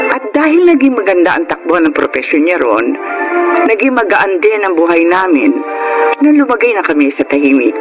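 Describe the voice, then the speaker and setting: Middle-aged teacher mom narrating her life, pinoy radio drama, earnest, expressive, music bed. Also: lowish voice. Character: middle-aged schoolteacher wife and mother, earnest, reflective. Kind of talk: dramatic first-person scripted narration.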